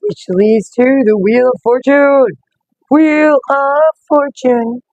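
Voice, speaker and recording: A woman talks slowly and gently with a slightly rough, worn voice, reading oracle cards in a casual live stream. The sound is dry and close, with no room around it, and the audio is dull and lo-fi. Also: sits high, no room sound. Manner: slow, gentle, greets viewers by name. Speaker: oracle card reader.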